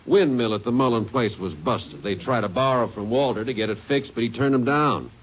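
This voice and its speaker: An actor playing a folksy, doubtful small-town sheriff with a drawl in a 1950s radio drama. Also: male voice, medium pitch, husky, close to an everyday voice. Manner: drawn-out, folksy, hesitant. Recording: muffled vintage radio recording with hiss.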